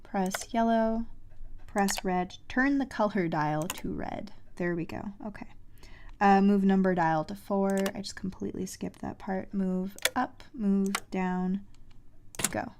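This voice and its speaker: A woman's voice: mid-range voice, measured pace, a little mellow, a little hiss or hum. A woman giving casual video game commentary, talking to herself in short reactions as she plays.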